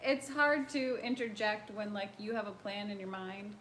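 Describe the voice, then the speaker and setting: A woman in her thirties co-hosting a casual live chat, talking quietly and with a dry wit. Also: medium pitch, moderate pace, a little hiss or hum. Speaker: co-host.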